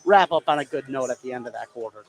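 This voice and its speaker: A man's voice: medium pitch, expressive, quick pace. A man giving analysis as a color commentator during live basketball coverage. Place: indoor basketball gym, broadcast commentary. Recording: noisy live gym ambience.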